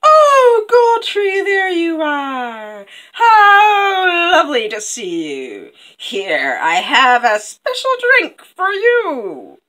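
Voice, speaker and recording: A vain, wicked storybook queen. Her voice is high and piercingly bright, swooping widely as she draws out her words with scheming, theatrical relish. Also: huge pitch swings, exaggerated voice. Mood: falsely gracious. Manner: drawn-out, melodramatic, wailing delivery.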